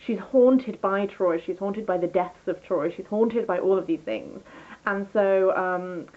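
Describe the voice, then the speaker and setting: A woman's voice: mid-range voice, unhurried, phone-quality sound. A woman chatting casually through a book review as a vlogger, rambling and enthusiastic, often interrupting herself.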